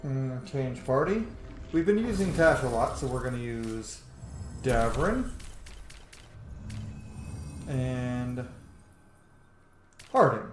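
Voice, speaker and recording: A man's voice: medium pitch, animated, takes it slowly, pauses often. A man hosting a video game playthrough with casual, conversational commentary. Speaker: gamer, video host. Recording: roomy echo, game music behind.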